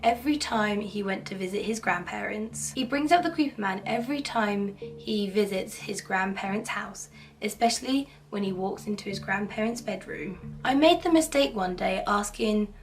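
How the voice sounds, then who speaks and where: Hoarse woman narrating spooky tales. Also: medium pitch, normal speed, soft background music. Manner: cheerful, chatty, playfully spooky. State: hoarse from a cold. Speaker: horror storytelling channel host. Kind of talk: casual spooky story narration. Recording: slightly distant mic, faint background noise.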